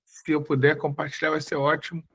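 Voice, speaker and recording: A man's voice: fairly high pitch, monotone, a little fast, fairly bright tone, slightly rough. A man in his forties from Brazil, giving a casual, chatty talk as a guest researcher over a video call, often restarting his sentences. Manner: chatty, self-correcting, many fillers. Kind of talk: casual live talk before a slide presentation.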